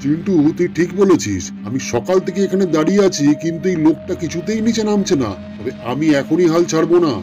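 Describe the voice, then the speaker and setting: A man voicing a menacing, hungry lion king who talks bossily in a children's animated folk tale. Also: on the high side, fast, few pauses, music in the background, close to an everyday voice. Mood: menacing, bossy. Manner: bossy, threatening.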